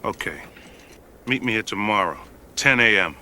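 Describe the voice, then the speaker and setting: A man playing a gruff, incredulous, blunt stadium boss in an audiobook drama. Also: lowish voice, animated, moderate pace, background noise, close to an everyday voice. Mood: gruff disbelief.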